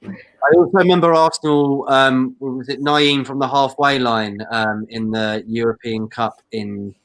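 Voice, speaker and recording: A man's voice: mid-range voice, steady tone, unhurried, a bit bright, dry recording, rough recording. A man in his mid-thirties, chatting casually in a football banter show, rambling and laughing often. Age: 30s.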